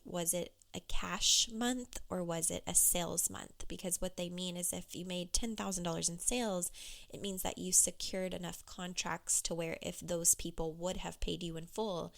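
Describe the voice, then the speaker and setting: A woman business coach talking candidly on her solo podcast, with a hoarse, froggy voice. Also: lowish voice, fairly bright tone. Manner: candid, conversational, with fillers.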